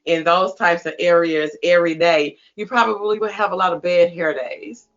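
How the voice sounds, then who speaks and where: Bright-voiced playful interview host. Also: medium pitch, steady tone, moderate pace. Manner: playful, teasing questions. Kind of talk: casual interview. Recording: dull lo-fi sound with faint music.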